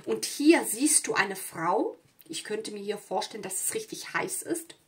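A woman's voice: mid-range voice, animated, a little slow. Woman giving a conversational tarot reading. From southern Europe. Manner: conversational, often checking in with "ja". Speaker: tarot reader. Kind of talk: casual spoken card reading to viewers.

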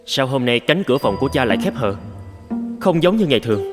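A man voicing a brisk, impatient young samurai, the second son in a cartoon. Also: mid-range voice, big pitch swings, lightly acted. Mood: puzzled. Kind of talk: dubbed cartoon storytelling. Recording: loud music under the voice.

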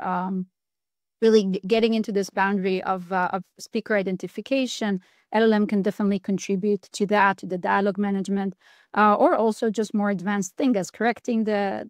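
A woman's voice: medium pitch, no room sound. A woman in her thirties answers questions in an upbeat, conversational way, with loose phrasing. Her mid-range voice sounds dry and close through a laptop mic on a video call. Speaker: product manager. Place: indoors at home, virtual background. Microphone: webcam or laptop mic on a video call.

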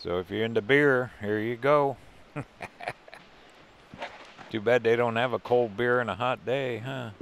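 A man's voice: mid-range voice, fairly even intonation, measured pace, pauses often, a bit bright, a little hiss or hum. An older man narrating a casual walk through a museum as a travel vlogger, easygoing and wry with chuckling asides. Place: indoor museum buildings.

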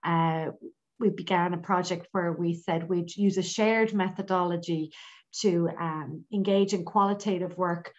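A woman's voice: fairly low pitch, fairly even intonation, unhurried. A woman with an Irish accent giving an informal academic presentation over a video call, hesitating often. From Ireland. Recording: dull lo-fi online call audio.

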